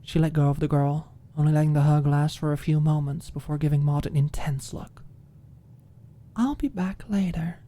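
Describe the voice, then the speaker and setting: A woman narrates a dramatic ASMR audiobook. Her voice is low and dark, with a breathy edge that sinks toward a whisper. She reads deliberately and with expression. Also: completely flat delivery.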